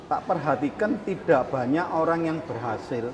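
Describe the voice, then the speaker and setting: A man's voice: on the high side, animated, normal speed, noisy background. A man from hosting a casual interview, dropping in English phrases. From Surabaya, East Java, Indonesia. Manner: mixes in English phrases, emphatic. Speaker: talk show host.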